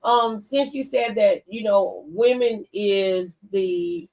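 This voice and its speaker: A woman's voice: mid-range voice, slow, darkish tone. An American woman hosting a talk show in a casual, conversational style, with an emphatic, encouraging way of talking.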